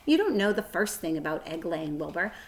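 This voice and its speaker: Woman reading as a brisk, wise lady spider. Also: on the low side, big pitch swings. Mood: brisk, assured. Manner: precise and matter-of-fact. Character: female spider, wise, brisk, motherly, self-assured. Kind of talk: children's storybook read-aloud.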